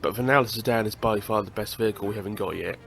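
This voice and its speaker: A man's voice: fairly low pitch, quick pace, vocal fry, fairly bright tone. Chatty man with a stuffy cold. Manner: chatty, swears, laughs at the game. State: congested with a cold. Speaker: gaming channel host. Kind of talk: casual let's-play commentary.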